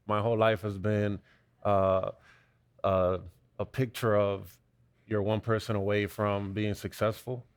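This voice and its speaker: A young man from Cuba speaking as a panel guest, earnest and halting, with frequent fillers and restarts. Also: lowish voice, flat delivery, clear, resonant tone, no echo. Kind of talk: panel discussion, conversational.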